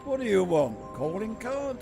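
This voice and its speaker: An actor playing a wisecracking secret agent in an amateur radio drama, wry and unflustered. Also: male voice, sits high, very animated, normal speed, vocal fry, music in the background, exaggerated voice. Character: wisecracking male secret agent, cool under threat.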